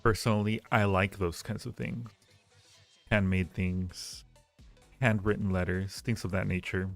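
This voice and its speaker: A man with a fairly low voice and plenty of vocal fry hosts a casual advice stream. He talks in a halting, rambling and reassuring way. Faint music plays underneath. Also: little pitch movement. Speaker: livestream host giving advice. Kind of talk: casual chat, answering listener questions.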